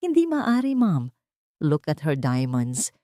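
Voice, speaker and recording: A woman's voice: low voice, wildly swooping pitch, takes it slowly, warm tone, no echo, clean studio sound, exaggerated voice. A woman with a Filipino accent voicing an irritable, arrogant rich man in an audiobook, defensive in tone. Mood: disbelieving, scornful. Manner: defensive, mixes in English.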